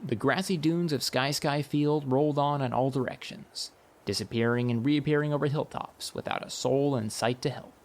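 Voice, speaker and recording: A man narrating a first-person comic fantasy audiobook in a wry, expressive storytelling manner. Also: medium pitch, moderate pace.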